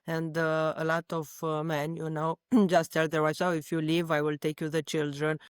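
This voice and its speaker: A woman with a Romanian accent, a psychotherapist and native Romanian speaker, talking as a guest in a podcast interview with halting, pause-filled speech. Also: deep voice, monotone, clear, resonant tone, dry recording, clean studio sound.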